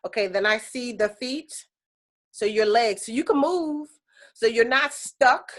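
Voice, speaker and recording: A woman's voice: on the high side, unhurried. Chatty woman teaching on a video call. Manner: chatty and animated, many fillers. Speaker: energy healing teacher. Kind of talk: casual live class on a video call.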